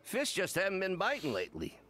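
A man's voice: high voice, huge pitch swings, a little fast, pushed and bright, exaggerated voice. A man playing a hearty, friendly older village man in a video game, speaking in a folksy way. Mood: amiable, matter-of-fact. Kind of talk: video game character acting.

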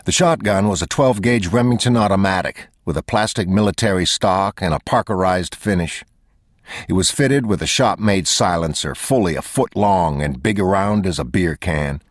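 Male audiobook narrator, very low-pitched, measured and unhurried reading. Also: dry recording, clean studio sound.

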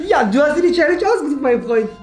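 A man's voice: extremely high pitch, expressive, quick pace. A young man hosting a video, answering viewer questions in playful, teasing casual banter. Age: young adult. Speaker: YouTube host. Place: furnished indoor room, seated at a table. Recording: roomy echo with music underneath.

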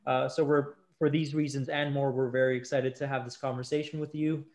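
A man's voice: medium pitch, level tone, fairly quick, a little mellow. A man in his thirties hosting a conversational podcast over a video call, with hesitant, self-correcting phrasing. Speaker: podcast host. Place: indoor room at home, video call.

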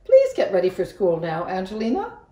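A woman's voice: mid-range voice, wildly swooping pitch, a little fast, mellow, reverberant. A woman voicing an exasperated, scolding storybook mouse mother who calls out emphatically to her daughter. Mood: calling out. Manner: emphatic, calling out.

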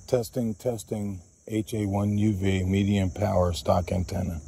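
Gravelly low-voiced man testing radios outdoors. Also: level tone, measured pace, mellow, a little hiss or hum. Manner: repeats radio test calls and calls out to his dog. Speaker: radio hobbyist host. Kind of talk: casual outdoor radio range test. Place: open grassy field by woods, outdoors.

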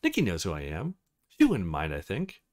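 A man voicing a laid-back, noncommittal young man in a visual novel, in a low-key, offhand manner. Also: wildly swooping pitch, normal speed, a little mellow.

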